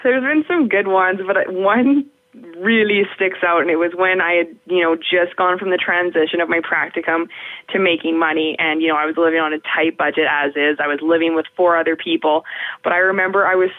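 A young woman in radio with a deeper voice, chatting casually as an interview guest and laughing often. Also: fairly even intonation, brisk, no room sound. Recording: tinny phone line.

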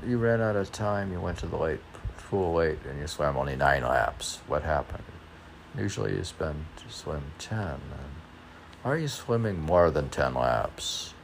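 Very deep, gravelly, slow-talking man. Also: slight background noise. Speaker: poet and podcaster reading own work. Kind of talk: reading poems with rambling off-the-cuff commentary.

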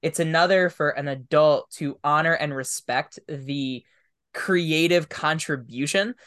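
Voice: mid-range voice, measured pace, fairly bright tone. A podcast host thinking aloud in a reflective, casual conversational interview. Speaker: tabletop game master.

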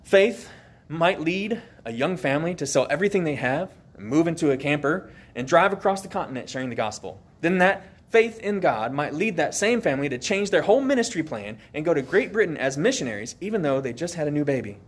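A man's voice: on the high side, sing-song, a little fast, slightly rough. A man preaching an earnest, emphatic sermon, asking the listeners questions as he goes.

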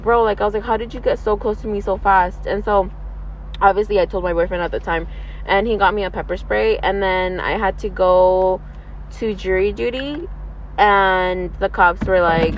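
A woman in her early twenties chatting casually with a friend in a slangy, rambling, giggly way. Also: fairly low pitch. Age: 20s, twenty-three. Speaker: vlog host. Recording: dull lo-fi, outdoor traffic noise.

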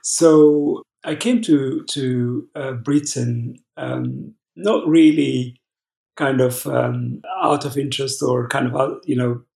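A man, a pastor answering interview questions haltingly, with many fillers and restarts. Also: mid-range voice, creaky, darkish tone. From Ethiopia. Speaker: pastor guest. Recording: roomy echo.

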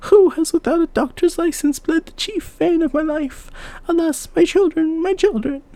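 A man's voice: flat delivery, a little slow, dark tone, no room sound. A man doing a wailing, grief-stricken queen. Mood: anguished lament. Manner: wailing, lamenting, high falsetto. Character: grief-stricken royal queen mourning her children.

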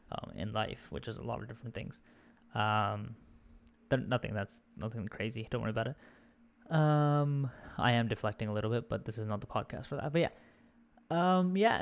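Reflective young man with a blended accent. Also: medium pitch. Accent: mixed British, Australian and American. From India. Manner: reflective, candid, searching for words. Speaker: podcast guest. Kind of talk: casual conversational interview.

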